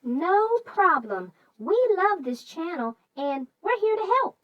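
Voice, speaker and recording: Eager, agreeable kids' show sidekick, played by a woman: extremely high, lively pitch, dull dry recording. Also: slow, no room sound, exaggerated voice. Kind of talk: scripted banter introducing a story.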